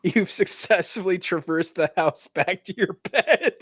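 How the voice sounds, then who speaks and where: A game master with a middling pitch, recorded dry and close. They narrate a tabletop fantasy game off the cuff, informally, slipping between description and casual chat with players. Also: a little slow, a bit bright, breathy edge, no echo.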